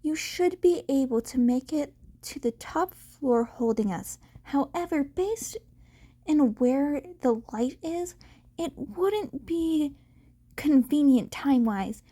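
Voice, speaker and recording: A woman gives voice to an earnest young vigilante in an audiobook story. He speaks high and slowly, analysing everything and rambling through his explanations. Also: warm tone. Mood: earnest, analytical.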